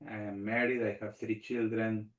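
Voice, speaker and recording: A middle-aged man from Argentina whose first language is Spanish, speaking as a rabbi on a panel in a measured way, repeating phrases in lists. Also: fairly low pitch, level tone, roomy sound, muffled recording. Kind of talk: panel talk.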